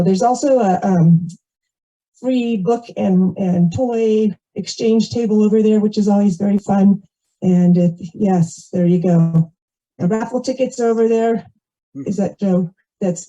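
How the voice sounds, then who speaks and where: Female volunteer announcer, casual and rambling, soft dark tone, muffled lo-fi microphone sound. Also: mid-range voice, a little slow. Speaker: volunteer announcer at community event. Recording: dull, muffled event microphone audio.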